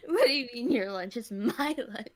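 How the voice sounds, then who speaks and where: A woman's voice: fairly high pitch, expressive, unhurried. A woman co-hosting a casual chat in a giggly manner.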